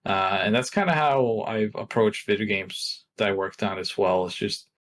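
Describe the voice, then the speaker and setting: A fairly low-pitched middle-aged man, talking as an interview guest. He muses in a loose, rambling way, circling back and restarting his sentences. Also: moderate pace, fairly bright tone, a little hiss or hum. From Norway. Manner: reflective, rambling with many restarts. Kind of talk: casual interview talk.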